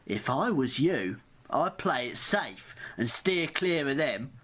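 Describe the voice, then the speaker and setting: A woman's voice: rumbling low, big pitch swings, slow pace, husky, full character voice. A woman playing a cheeky, hungry, argumentative train passenger in an amateur radio drama, mocking and grumbling. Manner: sarcastic, argumentative.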